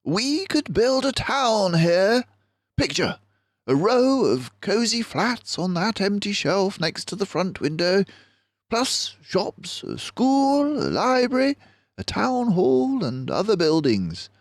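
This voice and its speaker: A keen, confident little mouse detective from a children's storybook, acted out by a male reader. The voice sits far higher than a man's usual talk and pronounces each remark with brisk, theatrical precision. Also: big pitch swings, no room sound, heavily acted. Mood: excited, decisive.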